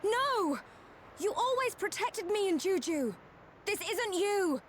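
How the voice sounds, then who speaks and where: A woman's voice: very high-pitched, lively intonation, slow, distant mic, slight background noise, full character voice. An actress playing a passionate, loyal and distraught young woman pleading in a dramatic video game scene.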